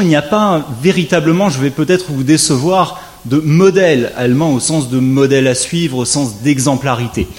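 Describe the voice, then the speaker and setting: A man delivering a formal academic lecture at a conference, his voice sitting a little higher than most men's. He speaks in a measured, orderly way, slipping in dry, wry asides and lifting his pitch to stress key points. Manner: measured, wry asides, lively rising emphasis. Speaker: legal scholar giving a conference talk.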